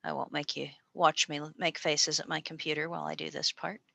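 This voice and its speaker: An American woman presents to an online audience in a low yet bright, forceful voice. She speaks in a chatty, informal way, stumbling over fillers and restarting her sentences. The sound is close and dry. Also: little pitch movement, fairly quick, gravelly, dry recording. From United States. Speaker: presenter and postdoctoral researcher. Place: small room at a university. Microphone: video call.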